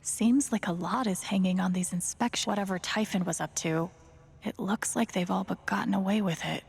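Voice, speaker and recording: A woman's voice: medium pitch, moderate pace, gravelly, husky. An actress playing a sardonic, indignant young woman sleuth thinking aloud in a video game, wry and muttering. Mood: suspicious. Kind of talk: naturalistic game inner monologue. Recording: long reverb on voice.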